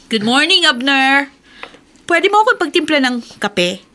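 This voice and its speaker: An actress playing a snide, bossy, envious co-worker in a radio drama, scornful and nagging. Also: female voice, sits high, big pitch swings, slow, bright, forceful tone, heavily acted. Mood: scheming. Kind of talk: Tagalog radio drama acting.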